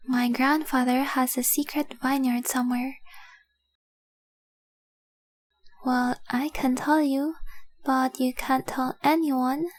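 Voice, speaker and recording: A woman playing a devoted young dragon-blooded fiancée in a romantic audio roleplay, speaking softly, earnestly and adoringly. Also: sits high. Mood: soft, confiding. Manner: soft, earnest, adoring, often dropping to breathy whispers.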